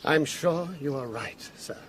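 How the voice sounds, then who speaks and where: An actor playing a bulky, deferential, scheming butler in a period drama, formal and obsequious. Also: male voice, medium pitch, measured pace, a bit bright. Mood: deferential, guarded. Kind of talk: period audio drama acting.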